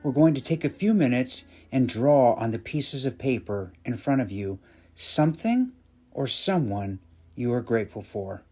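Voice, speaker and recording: A man playing an encouraging schoolteacher with lively pitch. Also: moderate pace, slightly rough. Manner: encouraging, patient. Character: kind, encouraging female primary school teacher.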